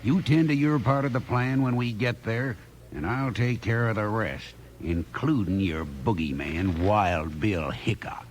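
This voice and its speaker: A man's voice: fairly low pitch, unhurried, natural voice. An actor playing a slick, pushy, scheming salesman villain in a 1950s radio western. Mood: smug scheming. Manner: smug, pushy, threatening.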